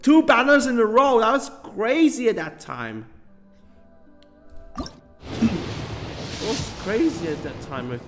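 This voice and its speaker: A man's voice: very high-pitched, expressive, slow pace, pauses often, a bit bright. Guy streaming game pulls, rambly, lots of uhs and little laughs, faint music behind. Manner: rambling, full of fillers and chuckles. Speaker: gaming streamer. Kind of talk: casual gameplay commentary.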